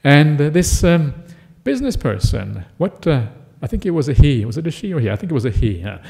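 Man, Norwegian-born Buddhist monk, gravelly voice, relaxed Dharma talk, many fillers. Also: on the high side, fairly quick. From Norway. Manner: relaxed, conversational, many "uh" fillers and "yeah?" checks.

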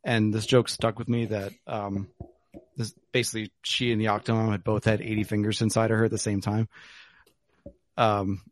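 Low-voiced man chatting with fillers. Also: little pitch movement, normal speed, a little hiss or hum. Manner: rambling, lots of fillers. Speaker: podcast host. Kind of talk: casual chat over whiskey. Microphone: remote call.

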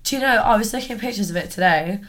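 Croaky young woman, blunt and teasing. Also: mid-range voice, very fast, fairly bright tone. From England. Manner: blunt, teasing, swears a lot. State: croaky, lost voice. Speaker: podcast co-host. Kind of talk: casual chat.

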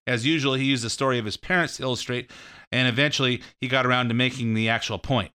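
Bright-voiced middle-aged American man, clear. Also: mid-range voice, fairly even intonation, fast. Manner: rambling, repeats phrases, acts out imagined quotes. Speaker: political commentator and show host. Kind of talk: casual opinionated political commentary.

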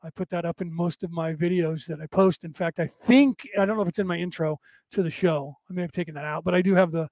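Man, talk show host, hoarse, raspy, high voice, dry close sound. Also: steady tone, quick pace, a little mellow, no echo. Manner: rambling, emphatic, addresses listeners directly. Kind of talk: late-night spiritual talk show monologue.

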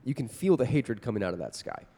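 A man playing a grave, measured psychologist in a radio play, giving advice deliberately. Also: mid-range voice, big pitch swings, mellow, a little hiss or hum. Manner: deliberate, advisory. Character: grave, measured psychologist, uneasy family adviser. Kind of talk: old-time radio drama acting.

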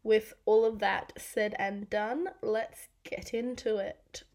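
A woman in her twenties with an Australian accent, hosting a casual solo podcast with a croaky, raspy voice from losing it this week, chatty and self-deprecating. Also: mid-range voice, steady tone, slow pace, darkish tone. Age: 20s. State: croaky, half-lost voice. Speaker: podcast host.

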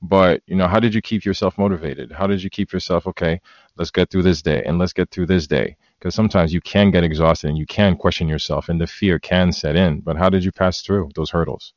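A man's voice: sits low, fairly even intonation, a little fast, dry recording, dull audio. A man hosting an interview, speaking in an emphatic, affirming way. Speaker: host. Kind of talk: interview conversation.

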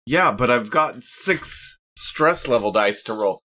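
A man's voice: mid-range voice, expressive, slow, sharp tone. A man playing a tabletop RPG, chatting casually with wry self-deprecation. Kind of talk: casual, animated game-table chat.

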